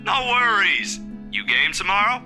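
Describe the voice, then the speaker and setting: A man's voice: sits high, very animated, unhurried, sharp tone, voice pushed up, exaggerated voice. A man voicing a friendly, caring young man chatting casually with a friend in a visual novel read-aloud. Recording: background guitar music over the voice.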